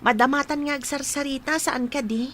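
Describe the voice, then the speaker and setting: A woman's voice: high-pitched, steady tone, fairly quick, fairly bright tone, slight background noise. A woman playing a poor squatter woman in a radio-style drama, polite and deferential yet guarded, asking questions. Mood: wary, polite.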